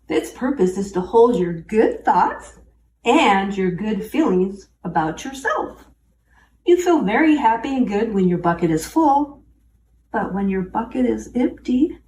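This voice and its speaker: Animated high-voiced woman reading to children. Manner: simple, encouraging, addresses young listeners directly. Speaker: children's story reader. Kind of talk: picture-book read-aloud with asides to children. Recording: roomy, distant mic, faint background noise.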